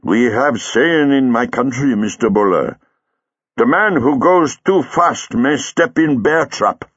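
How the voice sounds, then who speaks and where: A man voicing a suave, calm male stage hypnotist who speaks in a smooth, soothing way. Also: medium pitch, slow pace, no room sound, highs cut off, pitched above the performer's own voice, heavily acted.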